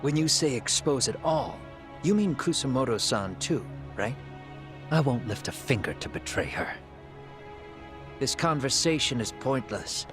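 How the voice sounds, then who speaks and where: A man's voice: mid-range voice, expressive, unhurried, music in the background, natural voice. An actor playing a brash, stubborn man on the run in a video game cutscene, speaking glibly and colloquially. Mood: guarded, bitter. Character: brash male fugitive, casual but stubbornly loyal.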